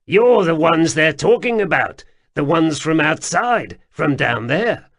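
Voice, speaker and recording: A man voicing an excitable, wheedling grimy male cave-dweller who talks loudly and pleads. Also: a little high, slow pace, voice pushed up, heavily acted. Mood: agitated.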